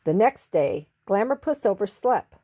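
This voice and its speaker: A woman narrates a children's story with a very lively, varied pitch. She is theatrical and stretches out key words for emphasis. Her voice sits in a middle register. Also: measured pace.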